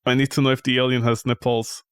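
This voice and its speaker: A man's voice: medium pitch, flat delivery, quick pace, fairly bright tone, clean tone, no echo, studio quality. A man streaming a game with casual, mocking, exasperated commentary and plenty of swearing. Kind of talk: casual, profane gameplay commentary.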